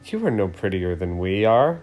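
Storybook green traffic light, female, argumentative and indignant male reader. Firm retorts, mid pitch. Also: sing-song, moderate pace, a little mellow.

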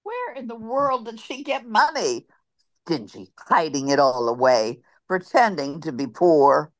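A woman's voice: low voice, big pitch swings, measured pace, no room sound. A woman reading a tart, gossipy churchgoing woman at a funeral in an online play reading. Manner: tart, sharp-tongued.

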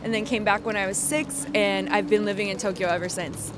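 A woman in her twenties, interviewed on a busy street at night, explaining in a clear, composed way. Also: medium pitch, fairly even intonation, fairly quick, over music. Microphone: handheld mic.

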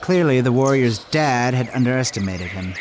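A man voicing an excitable, boastful panda kung fu fan in an animated game, swinging between mock-epic and flustered. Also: medium pitch, normal speed, slight background noise, natural voice. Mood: grand narrating. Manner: self-aggrandizing, mock-epic one moment, flustered the next.

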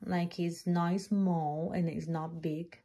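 Soft-spoken Brazilian woman reviewing a purse. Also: on the low side, level tone. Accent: Brazilian Portuguese. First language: Portuguese. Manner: halting, searching for words, laughs often. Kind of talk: casual product review. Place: small craft room indoors. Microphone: phone camera.

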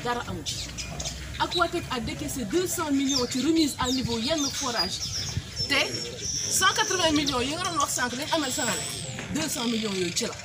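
A woman's voice: high voice, moderate pace. A middle-aged Senegalese woman, a water company manager, speaks animatedly to a community meeting outdoors, mixing Wolof and French and defending her company's work. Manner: animated, switching between Wolof and French, gesturing with papers. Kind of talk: public address at a community meeting. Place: outdoors under a tree before a crowd. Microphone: far camera, no mic. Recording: loud outdoor noise, distant camera.

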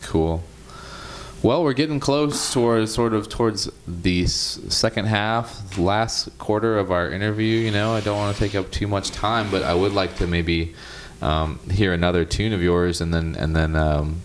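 Young male interviewer, very low pitch, easygoing filler-heavy delivery, handheld mic, faint room noise. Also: moderate pace. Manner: laid-back, filler-heavy, laughs easily. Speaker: interviewer and host. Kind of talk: casual interview chat. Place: living room with instruments, indoors. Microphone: handheld microphone.

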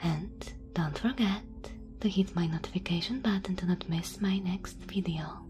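A woman whispering softly and breathily in an ASMR style, as a creator addressing listeners. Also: mid-range voice, slight background noise. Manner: whispering, soft and breathy delivery. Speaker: ASMR channel creator thanking listeners and patrons.

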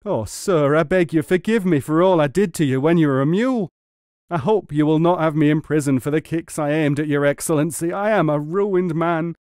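A man's voice: mid-range voice, fairly even intonation, fairly quick, a little mellow, no echo, studio quality, close to an everyday voice. A man voicing a gullible, bad-tempered rustic muleteer in a storybook, exclaiming and stammering. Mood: awed, grovelling. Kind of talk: storybook character reading.

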